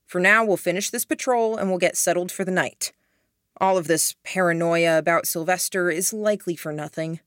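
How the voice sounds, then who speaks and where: A woman voicing a wary, commanding grown man who speaks in a steady, matter-of-fact way. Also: on the low side, moderate pace, no echo, clean studio sound, natural voice. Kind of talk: audiobook character voice.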